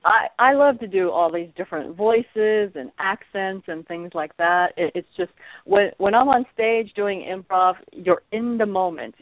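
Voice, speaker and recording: A woman improv actor and coach talks as a guest, quick and animated, often restarting her words. Her voice comes through a dry, narrow telephone line with no room sound. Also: medium pitch, dry recording. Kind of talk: phone interview guest.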